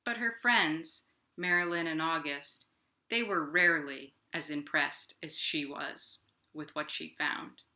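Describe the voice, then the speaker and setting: A woman's voice: sits low, slow, pushed and bright. A woman hosting a children's storytime from home, speaking directly to young listeners as she tells stories. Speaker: library storytime host. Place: at home, indoors.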